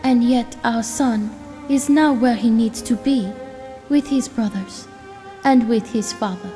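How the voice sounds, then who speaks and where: A woman playing a serene, loving spirit wife in an audio comic drama, speaking gently and formally. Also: on the high side, faint music behind, close to an everyday voice. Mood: tender, reassuring. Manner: formal, gentle, measured speech.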